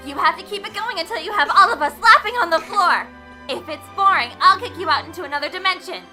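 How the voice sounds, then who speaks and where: A woman's voice: very high-pitched, animated, quick pace, bright, forceful tone, soft background music, heavily acted. A woman playing a bossy, hot-headed teenage girl club leader in an anime fan dub, brash and demanding. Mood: gleefully demanding. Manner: brash, demanding, self-important.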